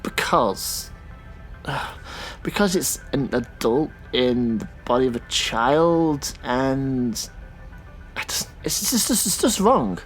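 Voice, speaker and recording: Male actor as easygoing opinionated male cabbie, conversational, slightly hesitant, medium pitch, sci-fi audio drama. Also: expressive, takes it slowly, soft background music. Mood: disapproving. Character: easygoing but disapproving, opinionated taxi driver. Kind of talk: science fiction audio drama acting.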